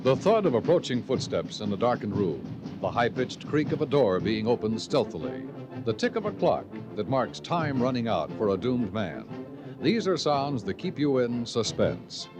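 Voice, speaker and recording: A man's voice: medium pitch, big pitch swings, moderate pace. An American man announcing in polished, dramatic 1950s radio style. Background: music.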